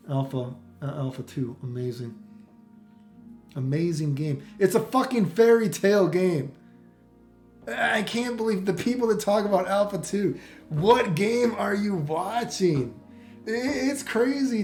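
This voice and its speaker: A man with a slightly high but soft-edged, mellow voice rants casually as a gaming commentator. He rambles, swears and states opinions bluntly. The room is noticeably echoey. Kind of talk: casual off-the-cuff ranting game commentary.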